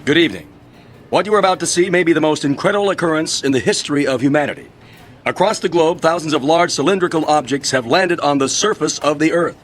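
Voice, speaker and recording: An actor playing a grave, authoritative news anchor reading formally in a radio drama. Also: male voice, a little high, faint music behind, lightly acted. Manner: formal, measured.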